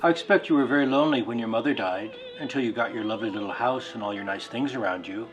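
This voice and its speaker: A man's voice: mid-range voice, quick pace, lo-fi sound, music in the background, natural voice. A man playing a fussy, practical bachelor suitor with an insistent, matter-of-fact way of talking in a drama reading. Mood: tentative.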